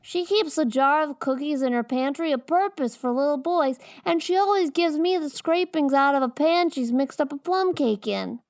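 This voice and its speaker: High-pitched, chattering little boy. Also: expressive, normal speed, hardly any pauses, darkish tone, no echo, clean studio sound. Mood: curious. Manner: childlike chatter with slangy, ungrammatical wording. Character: talkative eight-year-old boy, earnest, mischievous and curious. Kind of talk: dramatized audiobook character acting.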